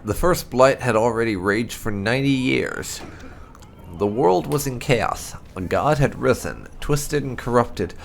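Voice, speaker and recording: A man reading fantasy lore aloud as dramatic narration, in a deliberate storyteller's delivery. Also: mid-range voice, expressive, gravelly, a little hiss or hum. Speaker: gameplay channel host. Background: music.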